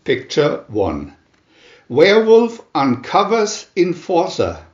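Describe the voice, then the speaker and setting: A man narrates a comic adventure in a theatrical announcer style. He speaks slowly and with pauses, his pitch swinging widely. The recording is roomy and somewhat dull. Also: huge pitch swings. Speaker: narrator reading aloud a picture-reel booklet.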